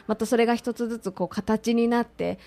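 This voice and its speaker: A woman's voice: on the high side, fairly even intonation, a little fast, mellow. A woman interviewing conversationally, with frequent attentive listening responses. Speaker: radio interviewer.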